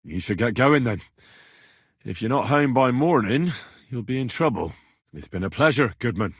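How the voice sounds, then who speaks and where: Casting: brash young medieval squire, husky, lively intonation, blunt and quick-tempered game hero, dry close sound. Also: male voice, medium pitch, no room sound. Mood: conversational. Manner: blunt, colloquial, assertive. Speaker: video game protagonist. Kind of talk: video game dialogue acting.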